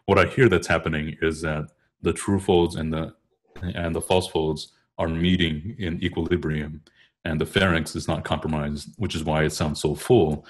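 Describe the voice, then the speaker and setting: Man, deep voice, casual technical explanation, hesitant phrasing. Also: fairly even intonation, moderate pace. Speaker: choral bass singer discussing vocal technique.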